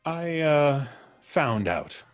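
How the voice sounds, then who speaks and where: A slow, suave, menacing intruder. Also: male voice, fairly high pitch, big pitch swings, heavily acted. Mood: mock pleading, teasing. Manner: polite, deliberate, teasing, drawn-out delivery. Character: suave, mocking male intruder, mysterious and menacing. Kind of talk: old time radio mystery acting.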